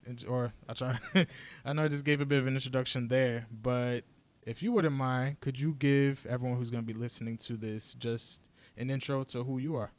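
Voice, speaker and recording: A man's voice: medium pitch, fairly even intonation, normal speed. A man hosting a podcast interview, introducing his guest with enthusiasm and an easy, friendly laugh. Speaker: podcast host.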